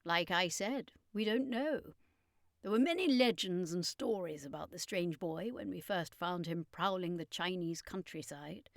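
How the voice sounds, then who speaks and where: A woman's voice: fairly low pitch, measured pace, no room sound, studio quality. A woman voicing an assured male circus master in an audiobook, explaining and leading in a commanding manner. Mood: assured, businesslike.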